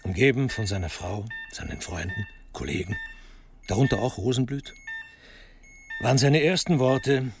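A man narrating a scripted radio crime drama in a wry, measured storytelling manner. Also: mid-range voice.

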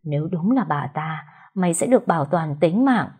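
A woman voicing a vengeful ghost that speaks in a slow, hushed, menacing way. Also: low-pitched, expressive, breathy edge. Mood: interrogating.

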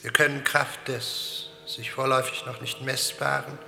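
Radio drama: self-important lecturing scientist, absent-minded, creaky mid-range voice, music behind, big reverb. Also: male voice, mid-range voice, flat delivery, moderate pace, sharp tone, full character voice. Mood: pompous, lecturing. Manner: pompous, pedantic. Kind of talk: German radio play acting.

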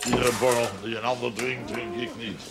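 An actor playing a blunt, jovial village drinker in a television period drama. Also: male voice, mid-range voice, flat delivery, moderate pace, a bit bright, slight background noise, lightly acted.

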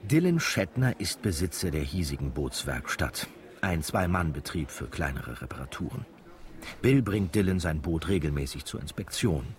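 A man narrating a radio drama from a script, as a wry storyteller given to chatty asides. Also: a bass voice. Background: music.

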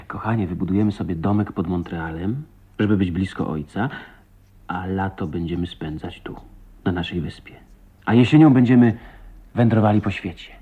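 An actor playing an ardent, proud man in love with his wife, in impassioned, halting 1980s Polish radio drama style. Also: deep voice, breathy edge. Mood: relieved, tender. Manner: impassioned, emotional, halting delivery. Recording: dull lo-fi radio play recording.

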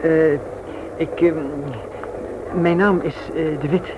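A man's voice: fairly high pitch, fairly even intonation, slow pace, muffled recording. An actor playing a shy, polite widower in his thirties, hesitant and formal, in a Dutch radio play. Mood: flustered, hesitant. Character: shy, awkward, polite widower on a blind date. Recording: noisy old radio recording.

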